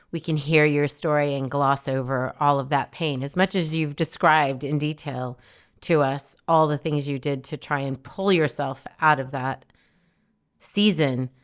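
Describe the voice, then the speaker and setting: A woman hosts an interview in a very low, unhurried voice. Her tone is smooth and resonant. She comes across as gentle and reflective, with frequent "um" fillers. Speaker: podcast host. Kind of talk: interviewing a guest.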